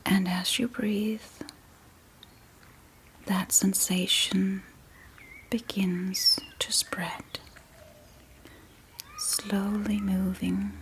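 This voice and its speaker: A woman softly guiding a sleep meditation in a breathy ASMR whisper, soothing and gentle, with long pauses between short phrases. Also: medium pitch, flat delivery, a little hiss or hum. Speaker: meditation guide.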